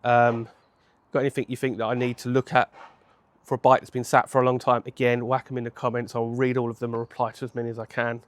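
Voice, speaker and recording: A man, hoarse from a cold, chatting casually to camera outdoors on a home patio, rambling and correcting himself as he goes. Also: mid-range voice, completely flat delivery, quick pace. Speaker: motorcycle vlogger.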